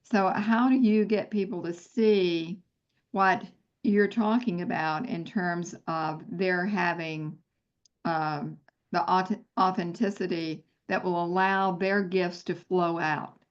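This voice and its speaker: A woman's voice: lowish voice, steady tone, takes it slowly. A woman hosting an interview, asking supportive, rambling questions with fillers. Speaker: interview host. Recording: dull lo-fi sound.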